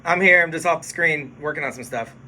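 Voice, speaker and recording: A man who works as a radio DJ, chatting casually on a phone livestream from his studio, relaxed and explaining things to viewers. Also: fairly high pitch, fairly quick, slight background noise.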